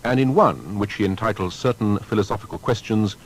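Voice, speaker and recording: A man narrating a scripted documentary biography in a formal, measured storytelling manner. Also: on the low side, slight background noise, poor audio.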